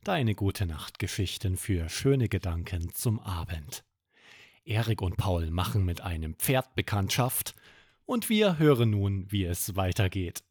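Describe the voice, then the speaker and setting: A man narrating a scripted bedtime story for children as an expressive podcast storyteller. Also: low voice, measured pace, breathy edge, no echo, clean studio sound.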